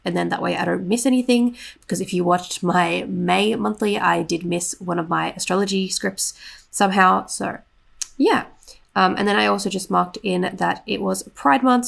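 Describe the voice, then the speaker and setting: Congested young woman, low gravelly voice, chatty rambling vlog voiceover. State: congested, sniffly from illness. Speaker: planner vlogger. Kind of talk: casual voiceover narration.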